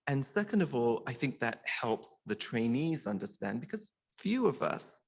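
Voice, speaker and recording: A man's voice: medium pitch, big pitch swings, normal speed, vocal fry, breathy edge. A middle-aged man, a surgeon, giving a personal, informal conference speech in an earnest manner, sometimes stammering and repeating words.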